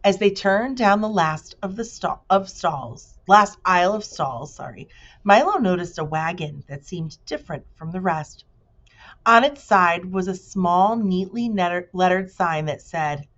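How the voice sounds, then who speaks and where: A woman's voice: fairly low pitch, fairly bright tone. A woman reading a children's story aloud expressively, like a teacher addressing pupils, in a dull recording.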